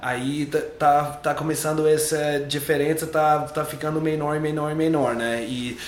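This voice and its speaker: A man's voice: on the high side, little pitch movement, measured pace, reverberant, a little hiss or hum. A young adult man, a native English speaker, chatting casually as an interview guest, loose and repetitive, restarting his words. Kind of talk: relaxed interview chat.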